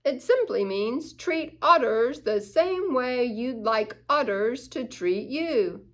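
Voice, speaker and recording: A woman voicing a wise male owl from a children's storybook, explaining things in a deliberate, instructive way. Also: a little high, animated. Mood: wise, patient.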